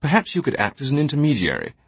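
A man's voice: medium pitch, wildly swooping pitch, fast talker, creaky, husky, no echo, voice pushed up, exaggerated voice. A man voicing a jovial, posh older British knight in an audiobook, breezy and blustering with theatrical indignation. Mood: indignant pleading.

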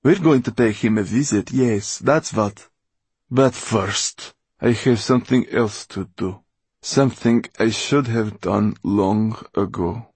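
Man voicing a sharp, domineering villainess, rough and raspy. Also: lowish voice, takes it slowly, no room sound, full character voice. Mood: cold, menacing. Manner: sharp, scornful, commanding. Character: domineering, sharp-tongued, ruthless older woman villain. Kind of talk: audiobook character acting.

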